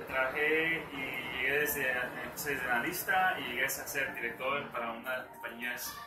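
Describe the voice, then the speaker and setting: A man's voice: medium pitch, normal speed, over loud music. A young man from El Salvador, raised in California, speaking as the guest in a casual interview, hesitant with many fillers. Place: living room at home. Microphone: far camera. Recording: roomy, far from the camera mic.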